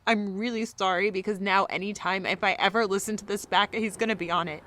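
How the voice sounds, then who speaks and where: A woman's voice: medium pitch, fairly even intonation, brisk, fairly bright tone, a little hiss or hum, close to an everyday voice. An actress playing an embarrassed, vulnerable young alcoholic woman, confiding hesitantly in an audio drama monologue. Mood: hurt, embarrassed. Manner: hesitant, confessional.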